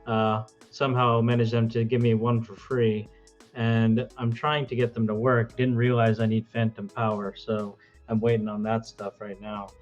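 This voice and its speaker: A man's voice: fairly low pitch, little pitch movement, normal speed, dull audio, faint music behind. A man co-hosting a casual livestream through a webcam microphone, chatting in slangy, emphatic, sweary talk. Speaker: show co-host. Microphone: webcam built-in microphone.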